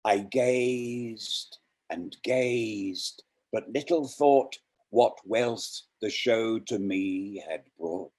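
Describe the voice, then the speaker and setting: Male poetry reader, lower register, extremely slow and reflective delivery. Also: a little mellow. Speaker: poetry reader introducing and reciting poems. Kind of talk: poetry reading with spoken introductions.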